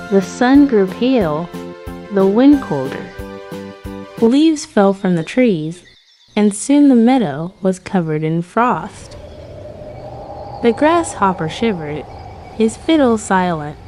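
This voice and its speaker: A woman's voice: medium pitch, very animated, takes it slowly, long pauses, dark tone, soft background music. A woman narrating a scripted fairy tale for children as a storyteller.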